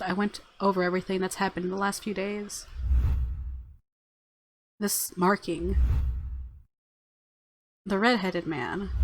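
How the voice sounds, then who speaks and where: A woman hosting a let's play, reading story narration and reacting casually in a playful, expressive way. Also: medium pitch, poor audio. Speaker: gaming video host.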